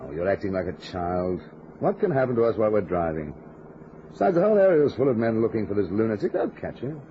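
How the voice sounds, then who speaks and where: A man's voice: on the low side, big pitch swings, fast. An actor playing a brusque, impatient husband trying to reassure his frightened wife in an old-time radio drama. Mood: dismissive. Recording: noisy, muffled vintage radio drama recording.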